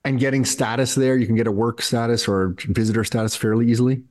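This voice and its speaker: A man's voice: mid-range voice, fairly even intonation, quick pace, a little mellow. A man hosting a podcast in casual interview chat, asking curious, eager questions. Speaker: podcast host.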